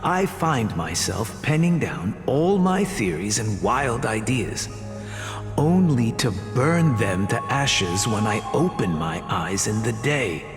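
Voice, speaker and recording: Game casting: cautious scholarly teacher, male, formal, very lively intonation, music clearly behind. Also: fairly low pitch, takes it slowly, heavily acted. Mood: solemn, troubled. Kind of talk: dramatic video game voice acting. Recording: long hall reverb, music behind.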